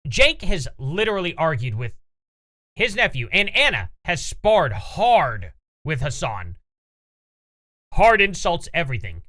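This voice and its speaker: A man's voice: a little high, sharp tone. A man hosting a casual reaction commentary, opinionated and rambling as he reads posts aloud. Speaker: commentary channel host.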